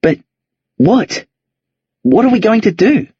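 A man voicing a frightened, bookish teenage boy whose voice rises high and strained under stress, on a dull, lo-fi recording. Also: big pitch swings, slow, warm tone, breathy edge, no room sound, full character voice. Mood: despairing. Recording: dull lo-fi audio.

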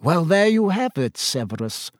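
A serene old male headmaster, voiced by a storybook narrator, quiet and measured even amid the shouting. His voice sits slightly above the narrator's. The recording is dry and close. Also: fairly high pitch, no room sound, pitched above the performer's own voice. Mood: calm.

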